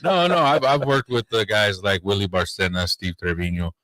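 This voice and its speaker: A man with a deep bass voice, a comedian guest on a radio show, telling stories in an easygoing, rambling way. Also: normal speed, fairly bright tone, no room sound. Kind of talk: casual radio interview. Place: radio studio.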